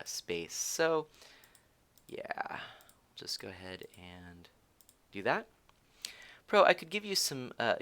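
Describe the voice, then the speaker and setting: A man's voice: lowish voice, pushed and bright. A man streaming a game live, chatting with viewers, giggling and teasing as he thinks aloud. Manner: rambling with frequent restarts.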